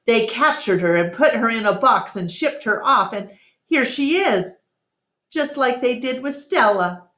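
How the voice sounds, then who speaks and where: A woman's voice: fairly high pitch, animated, measured pace, a bit bright, voice pushed up. A woman voicing a cynical, grumbling male animal sidekick with a wry, emphatic manner. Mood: dark, bitter. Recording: roomy, echoing room sound.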